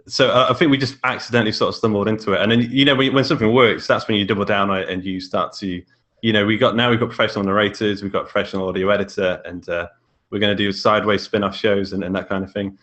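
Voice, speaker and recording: A man's voice: fairly low pitch, fairly even intonation, quick pace, a bit bright. A British man talking casually as a podcast guest, in a hesitant way that often repeats words. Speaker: podcast guest, indie horror author. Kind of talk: casual chat.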